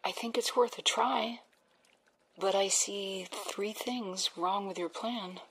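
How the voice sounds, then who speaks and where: A woman's voice: mid-range voice, fairly even intonation, takes it slowly, a bit bright, slightly rough, heavily acted, rough recording. An actress playing a young female research assistant who questions plans in a dry, practical way. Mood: skeptical. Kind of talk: audio drama acting.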